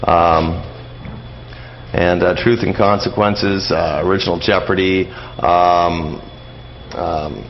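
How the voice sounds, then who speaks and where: A man's voice: sits low, fairly even intonation, slow pace, pauses often. A man talking casually as an interview guest, explaining things in a rambling, hesitant way. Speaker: guest, old-time radio expert. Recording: dull lo-fi sound with background hiss.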